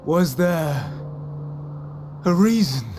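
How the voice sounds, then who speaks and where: An actor playing an earnest, gentle scholar, grieving yet resolute, with formal and deliberate phrasing in a dramatic video game scene. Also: male voice, fairly high pitch, big pitch swings, over music, heavily acted. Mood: pleading, grave.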